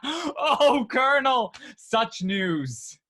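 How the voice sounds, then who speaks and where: Man playing a bright-voiced, gleeful gossiping gentleman. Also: extremely high pitch, very animated, very slow, full character voice. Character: gleeful gossiping gentleman soldier relishing mischief. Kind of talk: period stage comedy acting.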